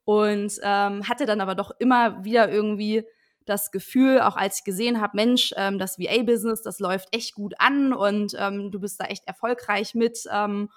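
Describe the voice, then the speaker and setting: A woman's voice: mid-range voice, steady tone, moderate pace. Chatty woman in her twenties. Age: 20s. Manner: chatty, frequent fillers. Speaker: podcast guest. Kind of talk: casual interview chat.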